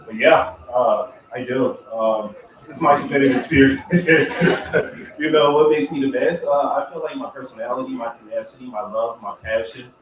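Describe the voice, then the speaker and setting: A man's voice: mid-range voice, moderate pace, a crowd in the background. A man, a football player, answering press questions in a reflective, motivational way. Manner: reflective, uses motivational metaphors. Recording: echoey room, far from mic.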